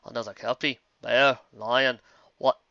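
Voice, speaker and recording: A man's voice: medium pitch, steady tone, unhurried, creaky, pushed and bright, no echo, dull audio. A man voicing a blunt, skeptical pony mare who talks curtly and dismissively. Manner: curt and dismissive.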